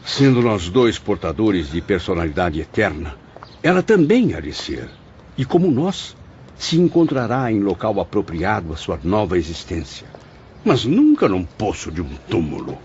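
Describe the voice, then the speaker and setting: A man as a deep, expressive consoling spirit. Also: a little hiss or hum. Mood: consoling reasoning. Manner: expressive, theatrical, measured reasoning. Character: male spirit narrator, a consoling, reasoning friend and writer. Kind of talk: dramatized audiobook narration and dialogue. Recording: dull lo-fi audio with music behind.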